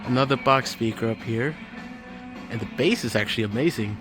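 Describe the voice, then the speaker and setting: Man, mid-pitched voice, casual vlog commentary, handheld recording, clear music behind, large store. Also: a little fast. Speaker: vlogger. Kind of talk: casual walk-through video commentary. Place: inside a large hardware store. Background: store music playing.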